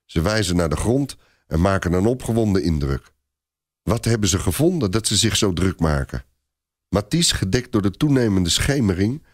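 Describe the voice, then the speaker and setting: A man's voice: on the low side, no echo, clean studio sound. A man narrating a scripted story in a dramatic storytelling style.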